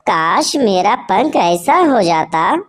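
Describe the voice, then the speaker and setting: A woman's voice: medium pitch, big pitch swings, slow. A woman playing an eager, boastful young male bird in a children's cartoon. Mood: admiring, wishful. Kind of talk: animated children's story voice acting.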